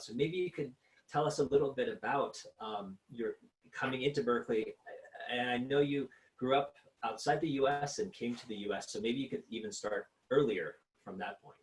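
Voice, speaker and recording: A man leading an interview in a relaxed, conversational way with frequent fillers. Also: mid-range voice, poor audio. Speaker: interviewer, program director.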